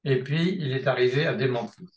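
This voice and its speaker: A man's voice: mid-range voice, a little fast, echoey room, low-quality recording. A man teaching a seminar, talking off the cuff, often restarting and stalling on filler sounds, then hammering a point with repetition. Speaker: seminar lecturer in psychoanalysis.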